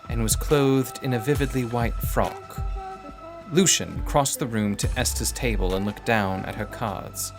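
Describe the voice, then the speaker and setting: A man reading dramatic first-person fiction in a deliberate, literary manner. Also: mid-range voice. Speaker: audiobook narrator.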